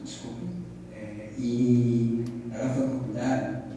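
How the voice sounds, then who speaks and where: A man moderating an event, speaking haltingly with many fillers. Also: medium pitch, level tone, mellow, slight background noise. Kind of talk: casual moderating. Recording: echoey hall, mic far off.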